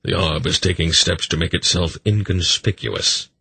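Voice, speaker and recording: Man doing a gruff, practical old sorcerer. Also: sits low, steady tone, moderate pace, sharp tone, no room sound, exaggerated voice. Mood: matter-of-fact. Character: terse, practical, commanding old male sorcerer. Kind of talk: fantasy audiobook character voice.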